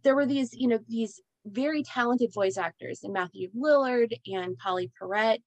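A woman's voice: fairly high pitch, normal speed, a little mellow, no room sound. Woman host rambling thru her questions, lots of restarts, dry close mic. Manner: rambling, hesitant, many restarts. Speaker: interviewer, host. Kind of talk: casual interview chat.

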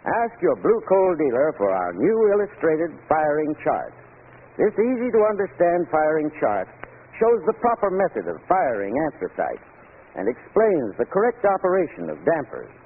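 Tinny 1940s radio pitchman, formal. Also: huge pitch swings, breathy edge. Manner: formal, measured, instructive. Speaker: sponsor's heating expert giving a commercial. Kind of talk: 1940s radio scripted advertisement.

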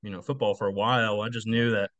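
A man in his late twenties gives casual, plainspoken answers as an interview guest. He talks quickly in a slightly low voice. The sound is close and dry, with an informal conversational feel. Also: steady tone, a bit bright, dry recording. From Texas, United States. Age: late 20s. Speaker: podcast guest, football coach.

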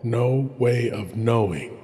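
A man hosting a horror show, narrating in a slow, ominous, theatrical way. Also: mid-range voice, dark tone. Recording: reverberant with music behind.

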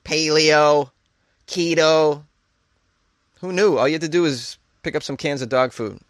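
A man's voice: a little high, measured pace, dry recording, studio quality. A man hosting a comedy show, reading odd news stories aloud and riffing on them with jokey, mock-incredulous asides, laughing at his own jokes. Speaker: host.

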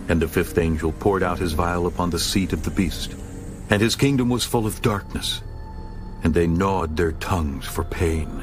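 A man narrating a dramatized scripture audiobook in a solemn, formal manner. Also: sits low.

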